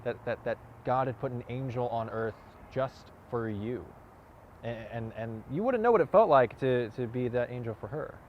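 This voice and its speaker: A man performing a dramatic monologue as a wounded, earnest man confronting someone, halting and repeating words for emphasis. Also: on the low side, close to an everyday voice. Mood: tender, grieving. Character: wounded, earnest man confronting a cocky youth, grieving lover. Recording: dull lo-fi audio, clear outdoor noise.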